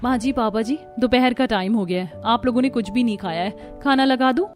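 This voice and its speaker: Woman as high-voiced young daughter-in-law. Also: a little fast, soft background music, natural voice. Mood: earnest. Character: young, exasperated, well-meaning new daughter-in-law.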